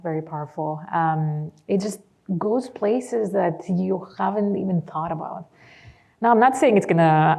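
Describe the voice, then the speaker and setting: A woman's voice: on the low side, dark tone. A woman from Greece with a Greek accent, speaking enthusiastically as a panelist in a discussion. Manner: enthusiastic, with frequent fillers.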